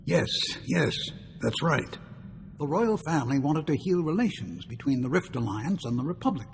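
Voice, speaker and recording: A man's voice: a little high, expressive, normal speed, gravelly, a little mellow, breathy edge, heavily acted. An actor playing an anxious, well-meaning statesman in a video game, fretful and formal. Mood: concerned. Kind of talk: video game cutscene acting. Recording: long reverb, faint noise.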